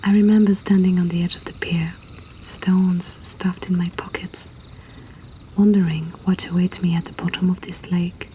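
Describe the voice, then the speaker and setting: A woman reading poetry and stories in a breathy whisper, in a soothing, hushed ASMR style. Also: on the low side, a little hiss or hum, poor audio. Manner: slow, soothing, hushed delivery. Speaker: poetry reader and host.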